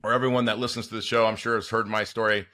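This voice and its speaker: A man's voice: lowish voice, little pitch movement, rapid-fire, sharp tone. A man hosting a podcast, chatty and enthusiastic as he talks with his guest. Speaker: podcast host. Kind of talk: casual interview chat.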